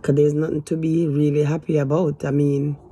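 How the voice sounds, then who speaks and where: A woman in her fifties talking hesitantly to camera in a casual, rambling way, with sighs and trailing pauses. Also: low-pitched, flat delivery, warm tone, slightly rough. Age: 50s. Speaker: vlogger. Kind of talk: casual confessional talk to camera.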